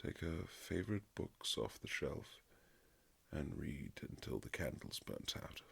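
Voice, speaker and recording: A man playing a courtly Regency nobleman husband, tender and gently teasing, in a husky romantic roleplay with formal, old-fashioned phrasing. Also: big pitch swings, unhurried, heavily acted. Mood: wistful, soft. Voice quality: very low, husky, gravelly. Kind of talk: romantic boyfriend ASMR roleplay, period drama.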